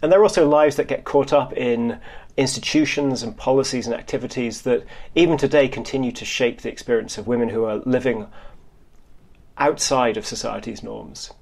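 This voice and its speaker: A man speaks as a historian in an interview, with a gravelly creak in a medium-pitched voice. He explains his points in a halting way, circling back to rebuild phrases. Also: darkish tone.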